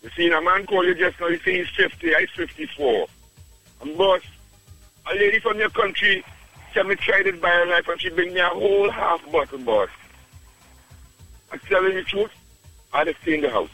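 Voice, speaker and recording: A man's voice: high voice, sing-song, moderate pace, vocal fry, pauses often, sharp tone, lo-fi sound, faint music behind. A man in his fifties from Trinidad with a Trinidadian accent, calling in to a radio show and raving enthusiastically, calling the host "boss" again and again. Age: 50s. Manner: enthusiastic, repeats "boss" often, chuckles. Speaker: radio caller giving a testimonial. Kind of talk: phone-in radio chat.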